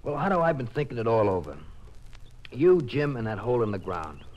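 A man's voice: medium pitch, very animated, brisk, a little mellow, lightly acted. A man playing a cocky, folksy oil worker with a sly, easygoing manner in an old radio crime drama. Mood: smug, scheming.

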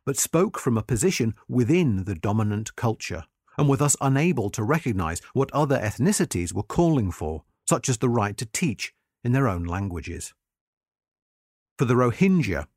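Male narrator, mid-pitched voice, expressive melody, scripted article reading, articulate, formal, very dry sound. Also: husky, no room sound.

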